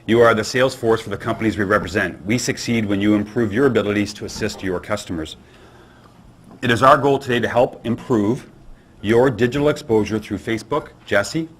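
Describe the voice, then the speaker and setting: A man with a loud voice opening his part of a conference seminar in a businesslike way. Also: fairly low pitch, slight background noise. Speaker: seminar presenter. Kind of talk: formal conference presentation.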